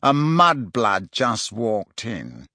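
A man's voice: on the low side, huge pitch swings, drawn-out words, bright, forceful tone, no room sound, clean studio sound, exaggerated voice. A man voicing an arrogant, spoiled teenage boy in a storybook, drawling with petulant sneers. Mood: sneering.

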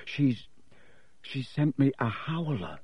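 A man's voice: lowish voice, huge pitch swings, slow, slightly rough, no room sound, muffled recording, exaggerated voice. A man voicing a frightened teenage boy who speaks faintly, full of dread.

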